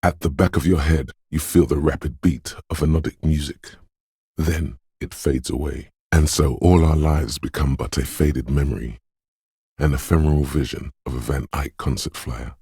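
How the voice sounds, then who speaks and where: An extremely deep, heavily husky inner voice for a video game, brooding and dreamlike. It speaks slowly and solemnly, like intuition whispering ominous poetry to its owner. The recording is close and completely dry. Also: male voice, steady tone, dark tone, no echo, full character voice. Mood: melancholy, dreamlike. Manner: slow, solemn, ominous, poetic. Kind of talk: scripted video game narration, second person.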